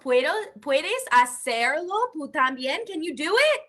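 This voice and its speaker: A woman's voice: high-pitched, sing-song, measured pace, fairly bright tone. A woman teaching bilingually at an interactive children's story time, upbeat and encouraging.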